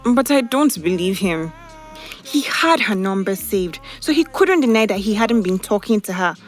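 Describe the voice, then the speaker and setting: A woman's voice: mid-range voice, animated, moderate pace, lightly acted. An actress playing a troubled young girlfriend in an audio drama, with a conversational, sighing delivery. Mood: hurt, suspicious. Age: young adult. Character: troubled young woman, suspicious and hurt girlfriend. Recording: faint music behind the voice.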